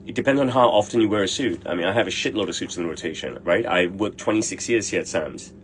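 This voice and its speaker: A brash middle-aged man talks bluntly in a low voice and swears without a second thought. He answers viewer questions in a loose, casual way, and faint room noise hums under him. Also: fast talker. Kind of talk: casual livestream Q&A.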